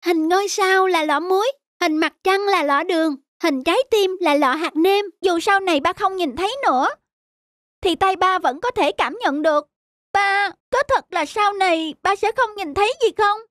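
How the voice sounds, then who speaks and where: High-voiced, earnest little girl. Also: moderate pace, a bit bright, no room sound, clean studio sound. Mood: earnest, pleading. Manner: childlike, pleading. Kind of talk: dubbed cartoon dialogue.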